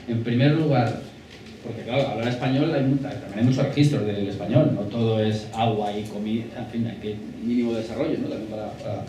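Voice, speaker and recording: A man's voice: medium pitch, warm tone. A man on a discussion panel, answering an audience question in an emphatic, pessimistic way. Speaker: panel speaker. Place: lecture hall. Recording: echoey hall, distant mic, background noise.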